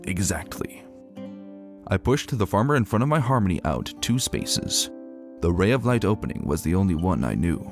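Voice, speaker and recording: A man reading a scripted first-person story in an expressive, reflective manner, as a dramatic narrator. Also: fairly low pitch.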